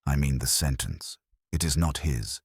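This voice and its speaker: A man's voice: rumbling low, unhurried, breathy edge, no echo, studio quality, heavily acted. A man voicing an imperious older genius detective with a pompous, terse, oracular manner in an audiobook mystery. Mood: commanding.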